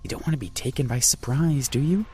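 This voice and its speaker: A man's voice: mid-range voice, fast talker, dark tone, soft background music, voice pushed up, natural voice. A man voicing a worried young woman who pleads anxiously with her brother. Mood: worried, pleading. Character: worried protective young woman, his sister.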